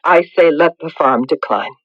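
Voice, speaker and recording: A woman's voice: low voice, moderate pace, dry recording. An actress playing an earnest woman in an eerie 1980s radio melodrama. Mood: troubled. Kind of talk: 1980s radio drama acting. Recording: muffled old radio broadcast.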